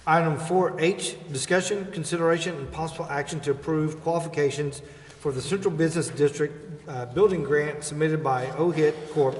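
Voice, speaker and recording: A man's voice: fairly high pitch, fairly even intonation, a little fast, a little hiss or hum. A man chairing a city council meeting, going through formal procedure in an echoey chamber. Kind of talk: formal meeting procedure, reading agenda items. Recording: echoey hall, long reverb.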